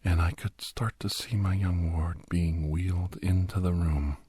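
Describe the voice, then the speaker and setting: A man playing a captive doctor who grimly recounts his escape, half-whispered in a breathy ASMR horror narration with halting, deliberate phrases. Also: deep voice, steady tone, warm tone, dry recording, full character voice. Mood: grim, tense. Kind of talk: first-person scripted horror narration, ASMR.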